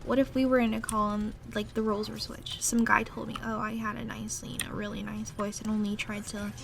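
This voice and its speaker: A woman's voice: mid-range voice, level tone, fairly quick, creaky, natural voice. A woman playing a jealous, pouty young gamer girlfriend in a casual, half-improvised roleplay audio. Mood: jealous, upset. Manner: pouty, grumbling, irritated. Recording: noisy room, clattering computer keyboard. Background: loud keyboard typing and background noise.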